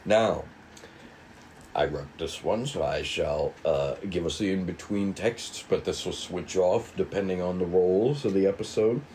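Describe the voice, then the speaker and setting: Slow, low-voiced man narrating a script. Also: warm tone, a little hiss or hum, poor audio. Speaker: writer and narrator of a table read. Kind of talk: scripted narration of screen directions.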